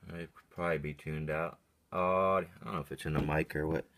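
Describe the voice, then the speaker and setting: A man's voice: rumbling low, steady tone, fairly quick, a bit bright. A man casually testing a CB radio at his workbench in short, clipped calls, muttering to himself as he goes. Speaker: CB radio hobbyist testing a vintage radio. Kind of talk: casual radio check chat. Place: cluttered indoor radio workbench. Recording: clearly noisy handheld recording with clicks.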